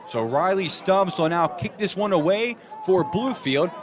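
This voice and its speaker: A man's voice: high voice, lively intonation, unhurried, over music, crowd noise. A young adult American man giving live play-by-play commentary as a football announcer.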